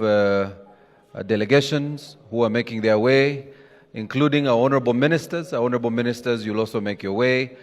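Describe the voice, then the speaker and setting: A man acting as master of ceremonies, making polite, formal announcements and giving instructions to guests. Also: mid-range voice. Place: large event tent, over loudspeakers. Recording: reverberant PA sound, faint music behind.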